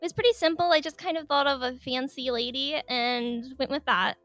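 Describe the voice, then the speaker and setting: A woman casually narrating her drawing process over a speedpaint, chatty and giggly, rambling with lots of filler words, speaking higher than her usual voice. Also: brisk, no echo. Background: soft background music.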